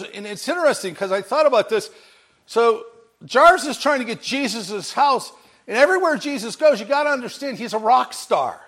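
A man's voice: extremely high pitch, a bit bright, husky. A man preaching a sermon with a big voice, telling a story with energy and acting out bits of dialogue. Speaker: pastor.

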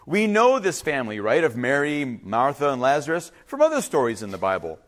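A man's voice: mid-range voice, wildly swooping pitch, a little fast, a bit bright. A man who grew up speaking Ukrainian preaches a sermon, reading and explaining scripture in an informal, conversational way with asides to his listeners.

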